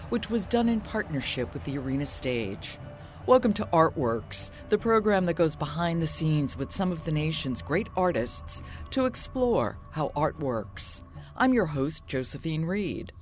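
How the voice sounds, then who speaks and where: A woman's voice: low-pitched, very animated, normal speed, darkish tone, faint music behind. A woman host reading a scripted introduction to an arts interview. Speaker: radio host.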